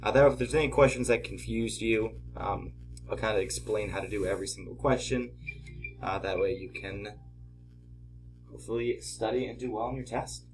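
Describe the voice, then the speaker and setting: A man teaching in an informal tutorial style, patiently explaining step by step, his voice slightly hoarse from a cold. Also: fairly low pitch, normal speed, faint music behind, rough recording. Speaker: math teacher. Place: at home, at a desk.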